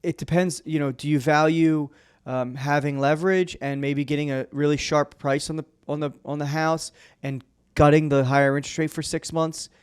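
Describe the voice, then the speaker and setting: A male podcast host with a smooth, clear and slightly high voice runs a casual interview. He fires off quick follow-up questions one after another. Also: fairly even intonation. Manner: asks quick stacked questions.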